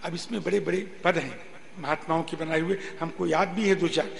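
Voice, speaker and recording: A man preaching a devotional discourse in an animated, exhorting way, acting out dialogue and chanting verses in a drawn-out style. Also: a little high, gravelly. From northern India. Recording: long reverberant hall sound.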